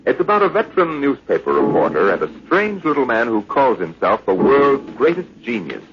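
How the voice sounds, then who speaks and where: Animated vintage radio host, muffled recording. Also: male voice, mid-range voice, fairly quick. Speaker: radio show host and narrator. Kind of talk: vintage 1950s scripted radio narration.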